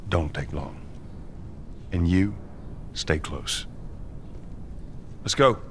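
An actor playing a gruff, cynical middle-aged male smuggler in a game, who talks tersely and dryly with a sarcastic edge. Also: sits low, expressive, extremely slow, a little hiss or hum, heavily acted. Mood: curt.

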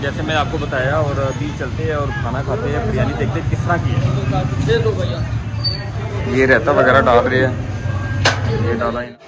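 A man's voice: medium pitch, steady tone, moderate pace, pauses often, very noisy. A man, chatting upbeat to viewers while vlogging through a market, filmed on a handheld phone with a lapel mic. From Lahore, Pakistan. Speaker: travel vlogger. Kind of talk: walking market vlog to camera. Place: busy indoor market shops. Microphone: phone held at arm's length with lapel mic.

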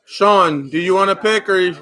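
A man runs a casual card break with friends gathered around a table, calling each name in turn and cracking jokes. His voice sits a touch high and comes across bright and forceful. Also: normal speed. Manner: calls out names, joking. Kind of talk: casual group chat.

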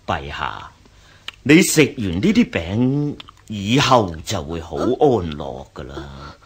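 Overbearing grand general, Hong Kong Cantonese accent, wildly swinging pitch, slow delivery, scheming, radio drama. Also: male voice, huge pitch swings, husky, full character voice. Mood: sly, menacing. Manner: domineering, falsely courteous.

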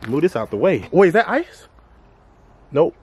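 A man's voice: on the high side, sing-song, slightly rough. A young man, talking casually and teasing playfully while vlogging from a car and outdoors, camera held at arm's length. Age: young adult. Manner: playful, teasing, rapid banter. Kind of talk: joking casual vlog chat. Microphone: handheld camera at arm's length. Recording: noisy car and outdoor recording.

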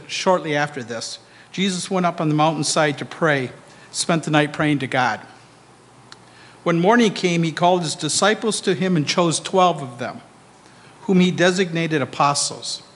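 A man in his sixties reads scripture aloud to a church. His voice sits a little high, and the stand microphone carries the long reverb of the hall. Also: normal speed, a bit bright. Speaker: scripture reader at a church service. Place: church sanctuary, indoors.

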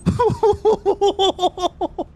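A man streaming a multiplayer game, reacting loudly with shrieks, laughs and yelps of panic. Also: very high voice, fairly even intonation, very slow, slight background noise. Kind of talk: excited gaming commentary.

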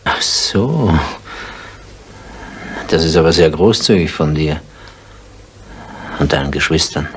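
A middle-aged villager in a retro radio crime play, polite but sly and evasive. His voice sits low and he speaks haltingly. The old recording carries a faint layer of noise. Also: animated, heavily acted. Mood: guarded, polite. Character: indebted village farmer, evasive, slyly polite neighbour. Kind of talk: 1970s German radio crime drama acting.

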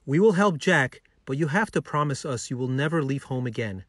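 A man voicing a caring, reassuring mother in a children's story, speaking with gentle firmness. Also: mid-range voice, expressive, fairly quick, dry recording, clean studio sound, lightly acted. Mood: gently firm.

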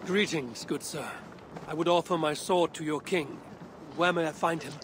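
An actor playing a gruff, shrewd Viking warrior who speaks in a measured, commanding way in a video game. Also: male voice, a little high, natural voice. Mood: calm, assured. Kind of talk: video game dialogue acting. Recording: clear background noise and ambience.

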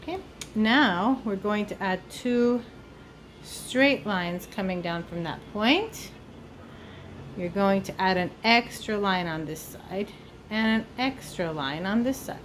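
Slow-paced woman teaching drawing. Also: medium pitch, a little hiss or hum. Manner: informal, thinking aloud while drawing. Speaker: art teacher. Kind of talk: step-by-step drawing lesson for children. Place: indoors at a table.

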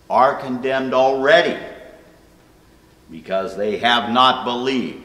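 An older man reading scripture solemnly and deliberately, phrase by phrase, recorded in a church room. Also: mid-range voice, fairly bright tone. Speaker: scripture reader. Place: indoor church room at a pulpit. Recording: echoey hall, long reverb, distant mic.

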